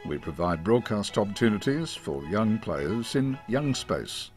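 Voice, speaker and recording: An Australian man reading a scripted promotional narration in a measured, formal announcer's manner. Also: lowish voice. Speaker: radio station presenter. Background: music.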